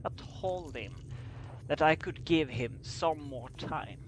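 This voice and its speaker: A man reading a scripted story aloud as an amateur narrator, halting and stumbling over his words. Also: on the high side, expressive, a little hiss or hum, poor audio. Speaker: amateur fan fiction reader.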